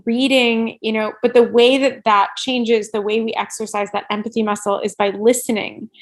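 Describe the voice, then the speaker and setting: High-voiced woman, informal interview guest. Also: flat delivery, normal speed. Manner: informal, peppers speech with "like" and "right". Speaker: podcast guest, workplace inclusion expert. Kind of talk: conversational interview answers.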